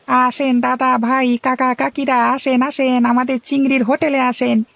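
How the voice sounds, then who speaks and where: A gravelly, fairly high voice belongs to an eager young shop boy in a cartoon. He hawks his food in a sing-song patter and bows to every customer. The voice rasps with a rough fry throughout. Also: level tone, normal speed, a bit bright, no echo. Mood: hawking.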